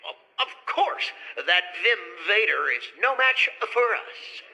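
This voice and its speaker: A man's voice: high voice, big pitch swings, takes it slowly, creaky, sharp tone, heavily acted, rough recording. A man playing an eager, wholesome young hero with an earnest gee-whiz manner in a cheesy radio drama. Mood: confident.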